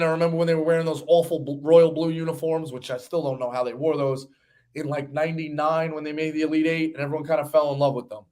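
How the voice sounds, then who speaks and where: Higher-pitched man talking sports casually. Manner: rambling, conversational, occasional swearing. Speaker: sports betting show host.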